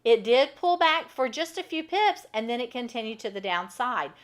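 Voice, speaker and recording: A woman's voice: a little high, big pitch swings, moderate pace. A woman teaching a live online trading class, chatty and explaining a chart step by step. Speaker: trading instructor. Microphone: microphone first left off, then turned on.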